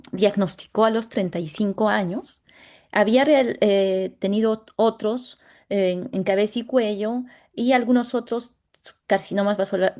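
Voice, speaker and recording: A Latin American woman, a dermatologist, presenting a medical case over a video call in a halting way, pausing often to search for words. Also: mid-range voice, creaky, darkish tone. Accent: Latin American Spanish.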